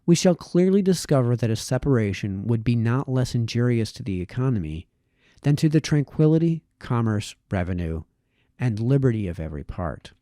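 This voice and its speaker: Animated, mellow man reading aloud. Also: mid-range voice, moderate pace, dry recording, studio quality. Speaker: amateur reader of historical essays. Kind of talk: read-aloud narration of formal essays.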